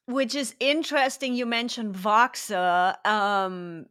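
A woman's voice: fairly high pitch, fairly even intonation, a bit bright. A woman with a German accent hosting a podcast interview, chatting casually and asking curious questions. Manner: chatty, curious, quick asides. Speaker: podcast host.

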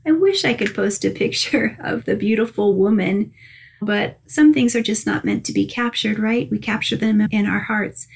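A woman hosts a spiritual podcast, gushing and chatty with frequent laughs. She sounds tired. Her pitch sits in a middle range. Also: normal speed, creaky, slightly rough. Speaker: podcast host and angel communicator. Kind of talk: casual spiritual podcast chat.

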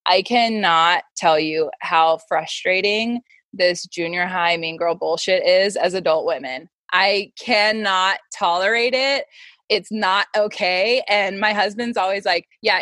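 A woman's voice: mid-range voice, normal speed, bright, forceful tone, no room sound. Candid woman in her thirties, close dry sound. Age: 30s. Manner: candid, emphatic, peppers talk with "like" and acted-out quotes. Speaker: interview guest, modeling agency founder and mentor. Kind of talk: casual, conversational interview.

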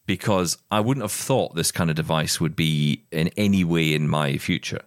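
A man's voice: sits low, moderate pace, dry recording, studio quality. A man co-hosting a podcast, chatting casually in a rambling way and often repeating words as he starts sentences. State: blind, describing progressive vision loss. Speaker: podcast co-host. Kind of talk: casual chat.

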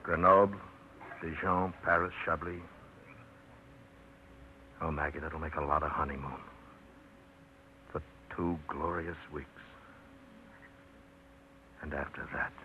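A deep-voiced coaxing young trucker. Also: male voice, fairly even intonation, slow, long pauses, a little hiss or hum. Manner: coaxing, playful. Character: confident young male trucker courting his girl. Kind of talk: 1950s radio drama acting. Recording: muffled 1950s radio broadcast.

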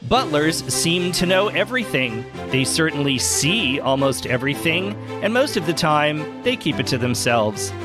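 A man's voice: a little high, very animated, normal speed, few pauses, over music. A man hosting a podcast, speaking in an effusive, formal way with theatrical phrasing. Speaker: podcast host. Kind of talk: warm-up narration and interview. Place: club library for the talk.

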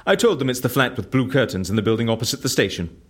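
A man's voice: mid-range voice, big pitch swings, fast. A man in an audio drama playing an eccentric, suave, flippant fixer with a breezy, drily playful manner. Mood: breezy, businesslike. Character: eccentric, suave, flippant male fixer who disappears people.

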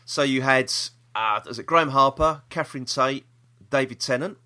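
A man's voice: medium pitch, moderate pace, pushed and bright. A British man hosting a podcast, chatting casually about the news in a rambling, opinionated way. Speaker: podcast host.

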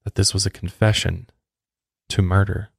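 A man's voice: deep voice, level tone, normal speed, creaky, husky, dry recording, clean studio sound. A man hosting a true crime podcast, reading a scripted narration.

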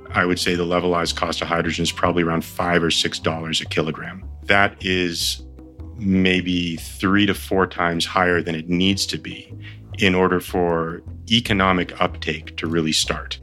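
A man's voice: deep voice, level tone, normal speed, pushed and bright, faint music behind. A man in his fifties from the Boston area, whose first language is Armenian, talking thoughtfully as an interview guest with frequent fillers and restarts. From Boston area, United States. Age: 50s. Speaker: guest, company founder. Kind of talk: relaxed interview conversation.

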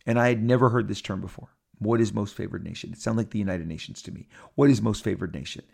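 Gravelly, animated man telling stories. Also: on the low side, fast talker. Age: 50s. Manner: animated, rambling, repeats and restarts, quotes others in character. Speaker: podcast host. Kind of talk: casual storytelling monologue.

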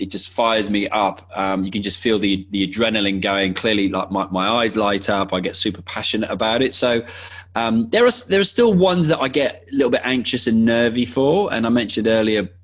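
A man's voice: on the low side, fairly bright tone, breathy edge, dull audio. A man talking casually as a podcast guest, enthusiastic and conversational, restarting his sentences as he goes.

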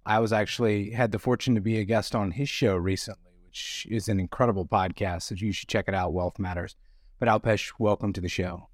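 A man's voice: fairly low pitch, steady tone, fairly quick, dry recording. A man hosting an interview podcast, reading an intro and welcoming his guest in an upbeat, enthusiastic way. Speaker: podcast host. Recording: dry with faint music bed.

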